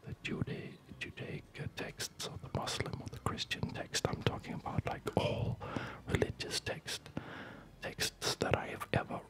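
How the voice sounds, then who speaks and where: A man whispering in a hesitant, halting, reflective way, as in a rambling ASMR video. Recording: music behind the whisper. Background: music.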